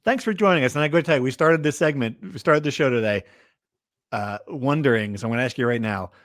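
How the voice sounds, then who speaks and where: A man's voice: medium pitch, fast talker, fairly bright tone, dry recording, clean studio sound. An American man hosting a podcast with casual, conversational sports talk.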